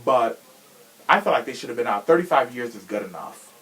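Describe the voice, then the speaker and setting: A man's voice: medium pitch, expressive, a little fast, fairly bright tone, rough recording. A young man hosting a podcast in a casual, opinionated chat, talking in a sassy, slangy and emphatic way. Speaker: podcast host.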